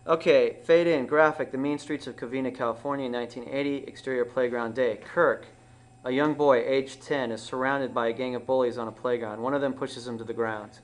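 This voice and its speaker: A man's voice: mid-range voice, fairly even intonation, fairly quick, slight background noise. A man reads stage directions from a script at a table read. His mid-range voice is plain and unpolished, and he corrects himself as he goes. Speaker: writer reading stage directions. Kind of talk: screenplay table read narration. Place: small dim indoor room.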